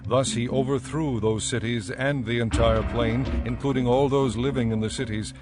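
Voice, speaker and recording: Male scripture narrator over soft music. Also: medium pitch, steady tone. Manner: measured, storytelling. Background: faint background music.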